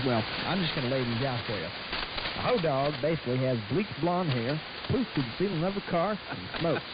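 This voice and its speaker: A man co-hosting loose, comedic talk radio. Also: high voice. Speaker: radio show co-host. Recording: hissy, muffled shortwave radio recording.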